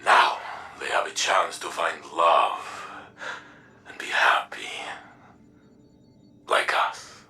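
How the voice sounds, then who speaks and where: A man's voice: low-pitched, level tone, whispered, heavily acted. A man playing a sentimental warrior in love in a video game, nostalgic and tender, slightly distant over music. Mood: hopeful, loving. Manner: slow, warmly nostalgic, halting syntax. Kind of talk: video game character dialogue.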